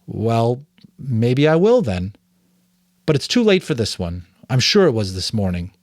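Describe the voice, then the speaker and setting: A man's voice: medium pitch, sing-song, unhurried, darkish tone, no room sound, studio quality. A man voicing a curious, earnest boy in a storybook reading, naive and eager. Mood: hesitant.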